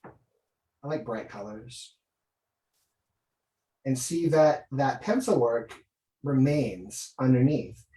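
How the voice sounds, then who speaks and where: A man's voice: mid-range voice, slow pace, pauses often, mellow. Casual male illustrator in an echoey room. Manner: enthusiastic, informal, thinking aloud while working. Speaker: guest illustrator. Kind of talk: casual art demonstration and chat. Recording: roomy echo, away from mic.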